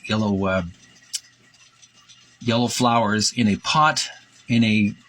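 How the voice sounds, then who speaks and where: A man reading aloud and describing pictures as a presenter, with an informal, at times halting delivery. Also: lowish voice, fairly even intonation, a bit bright, rough recording.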